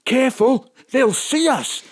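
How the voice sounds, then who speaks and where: A man playing a gullible, anxious husband and sidekick in an audio drama, excitable and confused. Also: extremely high pitch, unhurried, full character voice. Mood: anxious, excited.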